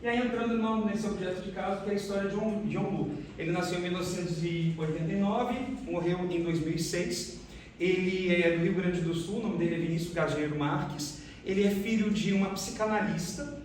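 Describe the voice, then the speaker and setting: High-pitched Brazilian man in an echoey room. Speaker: researcher presenting a case study. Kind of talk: academic conference presentation. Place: reverberant room, recorded from a distance. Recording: very echoey room, distant mic, faint noise.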